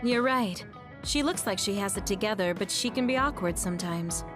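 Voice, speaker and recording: A woman's voice: medium pitch, animated, moderate pace, music in the background, lightly acted. An actress playing a friendly, caring, teasing young woman in a military squad in a video game. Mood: gentle. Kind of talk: English video game dub acting.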